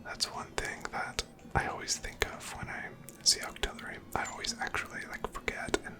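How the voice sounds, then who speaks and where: An ASMR game streamer speaks entirely in a breathy whisper. The delivery is unhurried and reflective, as if thinking out loud while playing. No full voice comes through, only soft, airy speech. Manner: unhurried, musing aloud. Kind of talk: whispered ASMR gameplay commentary.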